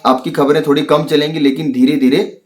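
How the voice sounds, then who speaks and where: A man gives unscripted commentary, explaining and arguing his opinions in halting, searching phrases. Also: a little high, level tone. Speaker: online commentator analysing a crime case. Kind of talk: unscripted spoken commentary on a crime case.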